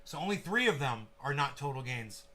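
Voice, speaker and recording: A man's voice: mid-range voice, big pitch swings, brisk, bright, forceful tone, rough recording. A man hosting a casual livestream, rambling and swearing freely while making sound effects with his mouth. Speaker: channel host.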